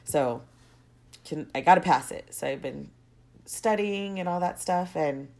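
A woman's voice: low-pitched, a little slow, vocal fry, darkish tone. A young adult woman hosting her own podcast, stuffy and congested from a cold, rambling off on tangents and circling back. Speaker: podcast host. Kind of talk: casual solo podcast monologue.

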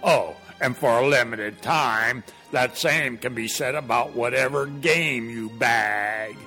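A man playing a folksy, cheerfully morbid funeral home pitchman in a spoof radio ad, with deadpan salesmanship. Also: takes it slowly, over music.

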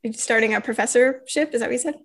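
A woman's voice: on the high side, breathy edge. Casual woman host, full of fillers. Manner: casual, many fillers, quick laughs. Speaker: host, interviewer. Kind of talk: casual interview chat.

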